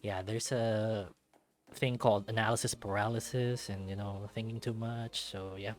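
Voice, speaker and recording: A man reading a visual novel aloud in an expressive way, dropping in casual asides of his own. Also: fairly low pitch, moderate pace.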